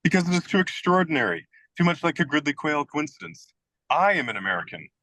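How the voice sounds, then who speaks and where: A whimsical young American writer in a radio comedy, acted by a man. The voice is very bright and forward, recorded dry and close. He talks in wry, theatrical flourishes and happily pokes fun at himself. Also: on the high side, very animated, quick pace, no echo, exaggerated voice, low-quality recording. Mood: wry, mock-dramatic. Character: self-deprecating, whimsical American male writer of twenty-six. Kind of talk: radio play comedy dialogue.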